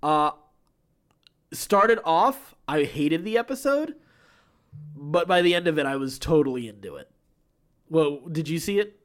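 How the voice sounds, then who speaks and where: A man, an animated and opinionated podcast co-host chatting casually as he recaps TV shows. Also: a little high, measured pace, pauses often.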